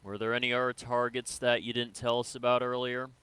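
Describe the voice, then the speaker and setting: A man playing a probing, businesslike military interrogator in an improvised sci-fi game role-play, speaking in a measured, matter-of-fact way. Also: mid-range voice, little pitch movement, sharp tone, clean tone, no echo, lightly acted. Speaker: role-player in an online game.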